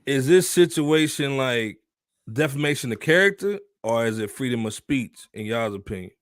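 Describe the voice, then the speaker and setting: A man hosts a casual podcast panel in slangy talk. His delivery is very lively in pitch, and the recording is dry and close. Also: moderate pace, no room sound. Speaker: podcast host.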